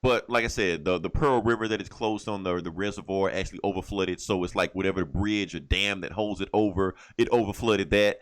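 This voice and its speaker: A man from the United States with a fairly deep voice hosts a casual podcast. He tells stories conversationally, with plenty of joking and swearing. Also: fairly quick, few pauses. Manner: profane, joking storytelling. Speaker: comic book podcast host.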